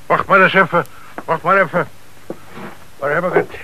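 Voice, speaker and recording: A man's voice: a little high, steady tone, moderate pace, pushed and bright. An actor in a Dutch radio play playing a shifty small-time crook who deals in cars, speaking hesitant, slangy street talk and hedging his answers. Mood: grumbling, searching. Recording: noisy old radio play recording.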